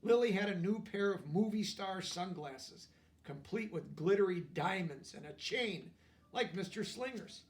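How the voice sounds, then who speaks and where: A man with a notably high voice reads a children's storybook aloud. He is animated and expressive, stopping to explain words and pictures to young listeners. The microphone sits some way from him. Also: a little slow, recorded from a distance. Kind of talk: expressive read-aloud narration with asides.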